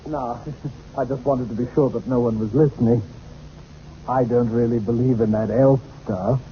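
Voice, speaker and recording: A man's voice: medium pitch, fairly even intonation, moderate pace, close to an everyday voice. A man playing a genial, whimsical father of a big brood, chatty and indulgent, in 1940s radio comedy style. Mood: chatty, amused. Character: genial, whimsical middle-aged father of ten. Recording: muffled, noisy old radio recording.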